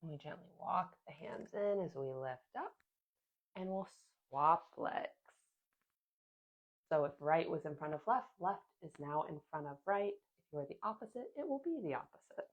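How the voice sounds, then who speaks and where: Gentle, sniffly woman guiding yoga. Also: deep voice, muffled recording. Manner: gentle, calm cueing with soft pauses. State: sniffly from a sinus infection. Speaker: yoga instructor. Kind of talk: guided yoga class instruction.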